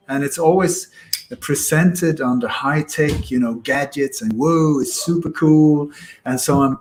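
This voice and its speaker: A man's voice: mid-range voice, darkish tone. A man from Denmark and Sweden with a Scandinavian accent, explaining at length in an interview and repeating phrases for emphasis. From born in Denmark, grew up in Sweden. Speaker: interview guest. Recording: echoey room sound.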